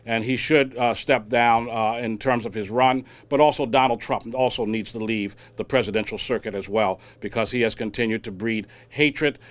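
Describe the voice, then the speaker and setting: A man speaking emphatically and deliberately at a news conference, repeating phrases for weight as he makes a public statement. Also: medium pitch, steady tone. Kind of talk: public statement, impassioned speech.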